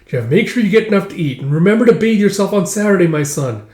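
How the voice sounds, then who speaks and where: A man puts on a fussing Viking mother, scolding and nagging in a raised pitch. The room adds an audible echo to the storybook-style performance. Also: expressive, fast talker, darkish tone. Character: nagging Viking mother fussing over her grown son. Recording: echoey room.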